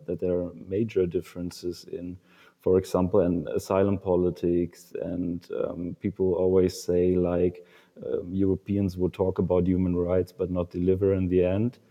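Low, flat-voiced young man, hesitant. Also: moderate pace, highs cut off. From Germany. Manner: hesitant, many fillers and restarts. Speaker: panel discussant. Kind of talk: casual discussion. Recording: long reverb.

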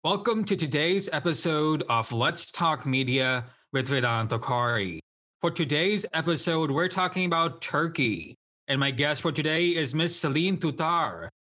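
A man's voice: a little high, expressive, measured pace, bright, forceful tone. A man hosting an interview podcast, upbeat and encouraging, asking short questions. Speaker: podcast host.

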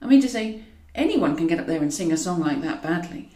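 A woman's voice: on the low side, lively intonation, fairly quick, warm tone, pitched below the performer's own voice, close to an everyday voice. A woman voicing a bluffing female talent agent in an audiobook, politely gushing with careful tact. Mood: flattering, bluffing. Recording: roomy echo, distant from mic.

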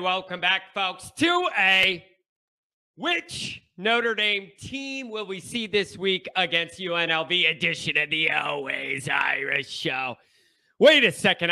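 A stuffed-up man hosting a sports talk monologue, his voice high-pitched and piercingly bright. He takes his time with each phrase, hammering points home through repetition and sudden shouted bursts. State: stuffed-up nasal congestion. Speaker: show host.

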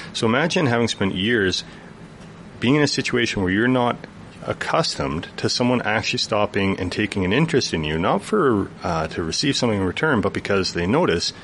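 A man speaks earnestly as a guest in a radio studio, his voice very low and deep. He explains at length, patient and thoughtful. A faint ambient hum sits behind him. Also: sing-song, brisk, fairly bright tone. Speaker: youth worker guest. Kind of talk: radio interview conversation.

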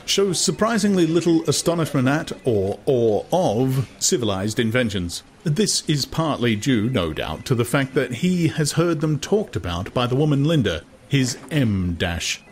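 A man's voice: mid-range voice, big pitch swings, a little slow, voice pushed up. A man voicing a self-important, carping young man who lectures pompously, in an audiobook. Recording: clear background noise.

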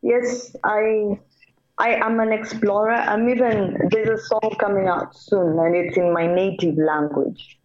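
A woman's voice: medium pitch, fairly even intonation, measured pace, darkish tone, highs cut off. A woman from Kenya, a reggae singer, talking earnestly about her music in a casual radio interview, in short repeated phrases. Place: radio call-in.

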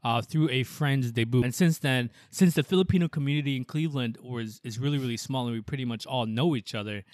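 A man's voice: mid-range voice, fast, dry recording, studio quality. A young man co-hosting a podcast in a casual, rambling way, switching between English and Tagalog. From United States. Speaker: podcast co-host.

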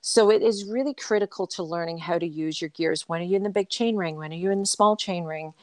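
Scottish woman, lowish voice, dry recording, coach co-hosting a casual podcast, explains with questions. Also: brisk, darkish tone, no room sound. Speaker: endurance coach and podcast co-host. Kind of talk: casual conversational podcast talk.

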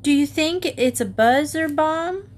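A woman's voice: high voice, unhurried, pitched above the performer's own voice. A woman voicing a fretful young schoolboy in a storybook, whiny and exclaiming, on a noisy recording. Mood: alarmed, complaining. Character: fretful young schoolboy complaining about loud noises.

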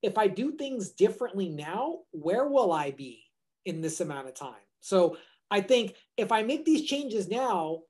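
A man's voice: high voice, very animated, unhurried, darkish tone. A man co-hosting a podcast in casual chat, rambling and restarting his sentences as he thinks aloud. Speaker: podcast co-host.

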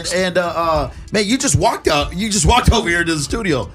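A man hosting a morning radio show with a higher voice that swoops up and down. He announces in a sing-song way and stumbles over repeated words when he chats. Faint music plays under him in the studio. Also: lively intonation, a little fast, a bit bright. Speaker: radio host. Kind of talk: radio show host banter.

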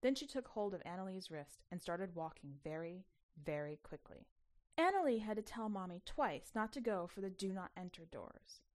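A woman reading scripted fiction as an expressive podcast storyteller. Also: medium pitch, normal speed. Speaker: podcast editor and story reader.

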